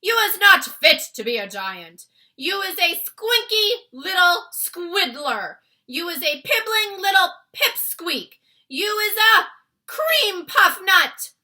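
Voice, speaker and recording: A woman's voice: very high voice, sing-song, takes it slowly, pushed and bright, pitched above the performer's own voice, heavily acted. A woman voicing a brutish, gluttonous, ill-tempered male giant in a children's storybook, roaring out mangled grammar. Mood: scornful, insulting. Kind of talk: acted children's storybook read-aloud.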